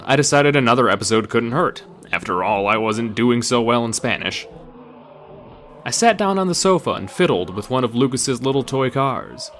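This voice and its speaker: A man's voice: medium pitch, a bit bright. Man giving a dramatic reading, noisy recording. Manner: theatrical, deliberate delivery. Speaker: narrator reading a creepypasta aloud.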